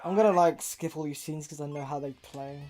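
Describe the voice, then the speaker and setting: A gamer with a warm, mellow voice talks offhandedly while playing a game and reacts to what happens on screen. Faint music plays in the background. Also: mid-range voice, brisk. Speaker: co-host of a gaming stream, playing the game. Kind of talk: casual gaming chat.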